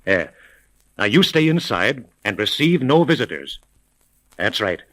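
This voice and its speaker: An imperious, wealthy businessman in an old radio mystery. His mid-range voice is sharp and swings widely in pitch. He speaks formally, with emphatic impatience. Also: very animated, measured pace. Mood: soothing, firm. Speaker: actor. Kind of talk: 1930s radio acting. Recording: vintage 1930s radio recording.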